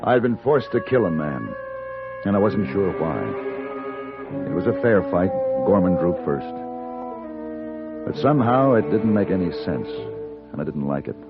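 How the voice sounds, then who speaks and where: A cool, hard gunfighter from an old radio western. His voice is very low and moves expressively, yet the delivery stays terse and deliberate. It comes through as a heavily muffled vintage broadcast with music underneath. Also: expressive. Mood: grave, probing. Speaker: lead actor and first-person narrator. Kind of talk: 1950s radio western acting and narration.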